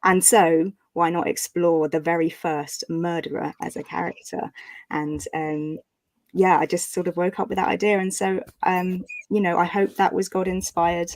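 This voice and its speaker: Low-pitched woman, hesitant and giggly. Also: level tone, moderate pace, darkish tone, no room sound, poor audio. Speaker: author hosting online book launch. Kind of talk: casual live chat about writing. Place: live online video call.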